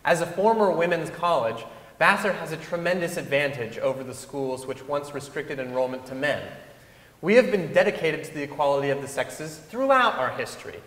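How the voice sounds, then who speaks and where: Young man, slightly high voice, formal stage reading in an echoing hall. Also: fairly quick, fairly bright tone. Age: young adult. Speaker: reader in a dramatic reading. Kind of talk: staged reading of speeches and letters. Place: large wooden concert hall stage. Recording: echoey concert hall with long reverb.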